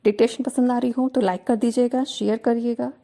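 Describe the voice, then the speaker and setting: Mellow-voiced woman reading slow dictation. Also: on the high side, steady tone, gravelly. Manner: measured, deliberate phrasing with pauses between word groups; firm, earnest, mildly aggrieved. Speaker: shorthand dictation teacher. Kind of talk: casual direct address to students.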